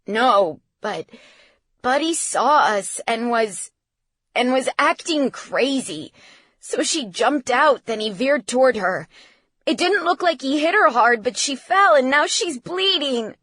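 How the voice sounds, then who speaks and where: A woman's voice: a little high, normal speed, hardly any pauses, fairly bright tone, no room sound, studio quality. Woman voicing a tense, agitated teenage boy. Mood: panicked, shaken. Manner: tense, emotional. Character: earnest, agitated teenage boy, son of worried parents.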